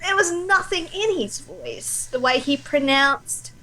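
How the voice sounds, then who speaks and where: A woman with a high voice chats informally as a guest. She is opinionated and puts firm emphasis on her points, in the manner of a devoted fan. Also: takes it slowly, pushed and bright, poor audio. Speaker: guest voice actor. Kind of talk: casual chat, opinionated fan discussion.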